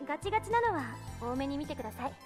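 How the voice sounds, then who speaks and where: A woman playing a poised young genius scientist in an anime visual novel, speaking formally and politely with a sharp edge. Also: high-pitched, very animated, very fast. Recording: game audio with music underneath.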